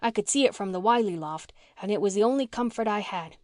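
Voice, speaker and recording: A woman voicing a scrappy orphan girl who talks in a rough, blunt country dialect. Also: mid-range voice, quick pace, darkish tone, no echo, studio quality, lightly acted. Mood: wistful.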